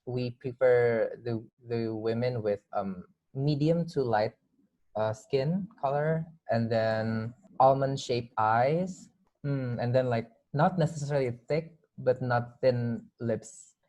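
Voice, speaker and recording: A man with an Indonesian accent speaks as a guest in a casual discussion. His voice is mid-pitched and mellow, with a dark, relaxed tone, and he tends to hedge as he goes. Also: slow pace, rough recording.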